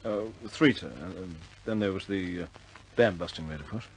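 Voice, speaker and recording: An actor playing a proud young RAF officer in a 1950s British war film, with a clipped British accent and an earnest, stammering, respectful way of speaking. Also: male voice, sits low, normal speed, a little hiss or hum, natural voice. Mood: respectful, hesitant.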